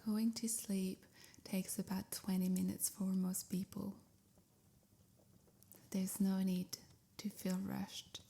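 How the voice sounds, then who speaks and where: Slow, softly whispery woman guiding meditation. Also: mid-range voice, little pitch movement. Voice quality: soft, breathy, near-whisper. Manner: soothing, unhurried, measured phrases. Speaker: meditation guide. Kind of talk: guided sleep meditation, scripted.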